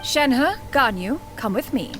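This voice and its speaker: A woman's voice: sits high, expressive, slow. A woman playing a proud, aloof ancient immortal in a video game, speaking in a haughty, formal way. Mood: flustered but dignified. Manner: haughty, formal, calls herself "one". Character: Cloud Retainer, proud, aloof ancient female bird immortal. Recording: game music behind.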